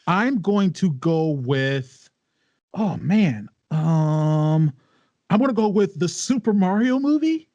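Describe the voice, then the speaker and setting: Animated man on a dull mic. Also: high-pitched, takes it slowly, dry recording. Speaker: podcast game contestant. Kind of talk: casual chat.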